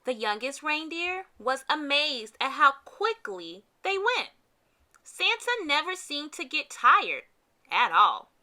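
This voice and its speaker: Female storybook reader for kids: very bright, animated pitch, dramatic emphasis. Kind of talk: read-aloud scripted narration for kids.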